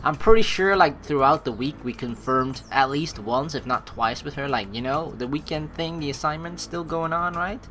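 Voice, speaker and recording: A woman doing a game playthrough, reading aloud and commenting with expressive, dramatic reactions. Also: low voice, normal speed, few pauses, slight background noise. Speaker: let's play streamer. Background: game music.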